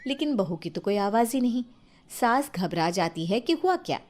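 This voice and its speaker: This is a woman narrating a scripted story. Her voice sits at a middle pitch. She delivers it as a storyteller. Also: sing-song, moderate pace, a little mellow.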